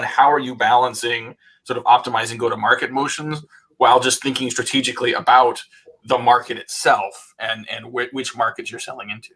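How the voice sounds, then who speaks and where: A man's voice: medium pitch, fairly bright tone, slightly rough, rough recording. A man hosting a business interview, talking conversationally and reflectively as he builds up to long questions. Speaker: interview host.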